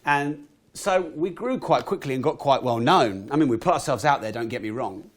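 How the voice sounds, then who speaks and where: A man in his thirties with a croaky voice, a podcast guest telling animated stories and swearing casually. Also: medium pitch, moderate pace. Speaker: guest entrepreneur. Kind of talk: podcast interview storytelling.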